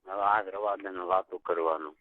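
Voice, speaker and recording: A man's voice: low-pitched, fairly even intonation, moderate pace, no echo. A man chatting casually and privately on a phone call. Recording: muffled tinny phone call recording.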